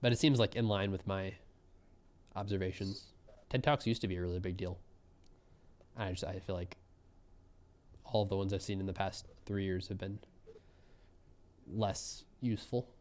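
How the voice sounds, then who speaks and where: A young man giving relaxed, musing live commentary on a programming contest, often trailing off mid-thought. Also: low voice, moderate pace, pauses often, slight background noise.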